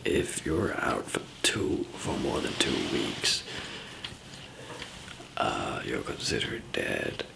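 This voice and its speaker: A man doing a whispered ASMR roleplay as a calm apocalypse survivor, murmuring in a hesitant, halting way. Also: rumbling low, creaky. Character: calm zombie apocalypse survivor handing out supplies.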